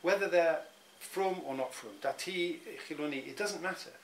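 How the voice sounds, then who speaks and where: A man's voice: on the high side, normal speed, rough recording. Middle-aged man, reflective interviewee, stop-start delivery, faint hum behind. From England. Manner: thoughtful, many restarts and repeated words.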